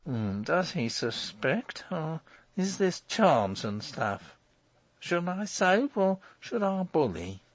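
A man's voice: fairly high pitch, sing-song, slow pace, no echo, voice pushed up, full character voice. A man voicing a sullen, evasive, nervous householder in an audiobook, hesitant and stammering as he schemes and blusters. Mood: scheming. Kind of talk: audiobook character voice.

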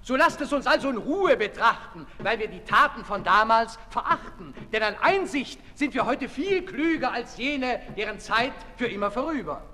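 A man's voice: very high-pitched, lively intonation, normal speed, few pauses, pushed and bright, exaggerated voice. An actor playing a glib male herald, sardonically appeasing in singsong rhymed couplets for a 1960s radio drama. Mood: mock soothing. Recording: 1965 radio play, reverb, faint noise.

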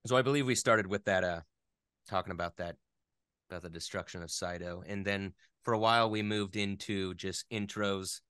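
A man speaking casually as an interview guest, rambling along with animated, geeky enthusiasm. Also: low-pitched, moderate pace, clear, resonant tone, dry recording, studio quality.

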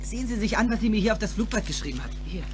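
A man's voice: high voice, expressive, racing, over music. A young male actor playing an earnest, urgent teenage boy who pleads insistently in a German radio play. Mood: desperate.

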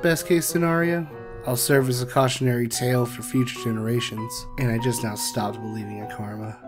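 A man plays a sardonic cartoon pony stallion on the run. He talks in wry, theatrical asides and pokes fun at himself, with music clearly playing underneath. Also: medium pitch, steady tone, a little fast, natural voice. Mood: gloomy, deadpan. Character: sardonic, self-deprecating adult male pony stallion on the run. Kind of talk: animated comic dub acting.

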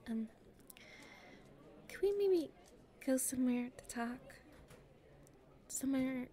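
A woman playing a nervous popular schoolgirl with a secret crush in an ASMR roleplay, softly breathy and halting. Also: high-pitched, expressive. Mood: shy, earnest. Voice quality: soft and breathy, often near a whisper. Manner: hesitant, halting, shyly pleading.

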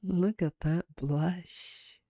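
A woman's voice: on the low side, fairly even intonation, extremely slow, mellow, no echo, exaggerated voice. A woman playing a playful, domineering female vampire captor in an intimate ASMR roleplay, teasing and sardonic.

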